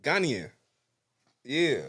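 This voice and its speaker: A young man hosting a live-stream talk show, teasing his co-hosts in loud, slangy banter and hyping tickets. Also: a little high, sing-song, very slow, husky, no room sound, clean studio sound. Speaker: show host. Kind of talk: casual live-stream chat.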